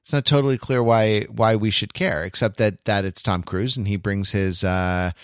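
A man co-hosting a podcast, talking through a film casually and haltingly, repeating phrases as he thinks aloud. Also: on the low side, steady tone, fairly bright tone, smooth, no room sound, clean studio sound. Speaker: podcast co-host.

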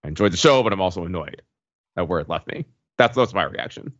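A man's voice: low-pitched, lively intonation, quick pace, fairly bright tone, no echo, lo-fi sound. A man giving his view as a podcast panelist in a casual, hesitant, conversational way. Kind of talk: casual TV show discussion.

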